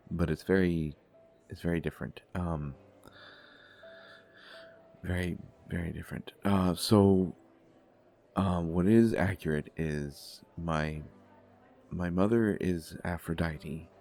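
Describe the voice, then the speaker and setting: A man playing a shy, gentle young god of desire in an intimate audio date roleplay, speaking politely with many hesitations and stammers. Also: sits low, steady tone, slow, long pauses. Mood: modest, earnest.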